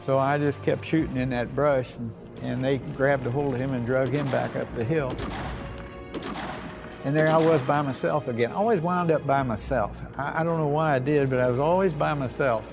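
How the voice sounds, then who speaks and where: Old american vet telling his memories, creaky gravelly voice, folksy, music behind him. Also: medium pitch, fairly even intonation, normal speed. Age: 80s or older. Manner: plainspoken, folksy, repeats himself. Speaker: war veteran. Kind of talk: oral history reminiscence.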